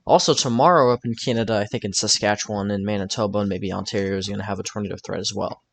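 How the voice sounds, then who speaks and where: Low-voiced man giving casual weather commentary. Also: fairly even intonation, quick pace, vocal fry, fairly bright tone, a little hiss or hum. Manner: casual, tentative, thinking aloud. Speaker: weather forecaster presenting his own video. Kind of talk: informal weather forecast commentary over maps.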